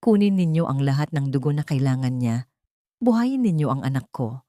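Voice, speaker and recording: A woman's voice: extremely low pitch, expressive, moderate pace, mellow, no echo, studio quality. A woman voicing an anguished, remorseful father in an emotional, pleading way for an audio drama. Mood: resolute pleading. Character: anguished man in wheelchair, remorseful father, fiercely resolute.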